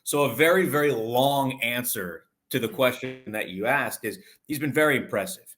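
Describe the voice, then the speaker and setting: A man's voice: medium pitch, moderate pace, a bit bright, a little hiss or hum. A man giving conversational sports analysis as an interview guest, explaining things at length and in detail. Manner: talkative, explanatory, long detailed answers.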